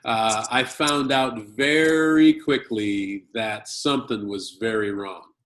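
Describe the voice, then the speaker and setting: An American man tells a story from his childhood, slow and measured. He speaks in short bursts with pauses for an interpreter. The room around him rings with echo. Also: medium pitch, fairly even intonation, fairly bright tone. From Chicago, United States. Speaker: conference speaker. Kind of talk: personal story in a talk. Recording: roomy echo.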